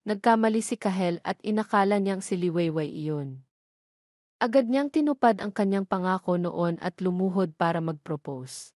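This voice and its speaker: A woman's voice: medium pitch, a little mellow, no room sound. Filipino woman narrating a scripted story, dry sound.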